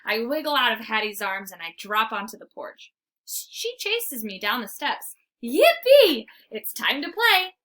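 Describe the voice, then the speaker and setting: Woman voicing an excitable, cocky storybook dog, swooping pitch. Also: unhurried. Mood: eager, playful. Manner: exclamatory, dramatic, eager. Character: excitable small male dog, cocky squirrel-hating playful hero. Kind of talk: storybook read-aloud, first-person narration.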